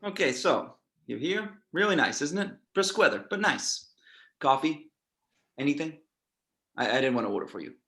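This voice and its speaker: A man reading a chatty, fussy mobster in his fifties, creaky and halting, at an amateur script table read. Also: on the high side, expressive, a bit bright. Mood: effusive. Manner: halting, repeats himself.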